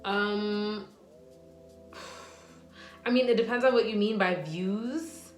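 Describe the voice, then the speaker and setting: A woman's voice: mid-range voice, drawn-out words. A woman in her twenties, a nurse answering viewer questions in a casual vlog, chatty and rambling with lots of fillers. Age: 20s. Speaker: nurse and vlogger. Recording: echoey room with faint music.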